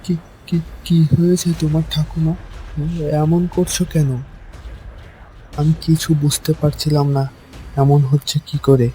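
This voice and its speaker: A man's voice: on the high side, fairly even intonation, measured pace, pauses often, mellow, faint music behind, close to an everyday voice, poor audio. A man voicing an anxious, bewildered young grandson who narrates and acts in a horror cartoon with dramatic, hesitant delivery. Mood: frightened. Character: frightened, bewildered young grandson telling his ghost story. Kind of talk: first-person horror cartoon narration and dialogue.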